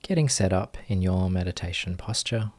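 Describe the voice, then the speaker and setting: A man leading a guided meditation as a teacher, speaking gently and softly in short phrases with long pauses. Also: sits low.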